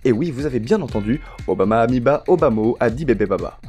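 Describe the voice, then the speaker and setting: A man's voice: medium pitch, lively intonation, fast talker, darkish tone, faint music behind. A man hosting a parody news show, delivering scripted comedy with mock-pompous announcer gravity.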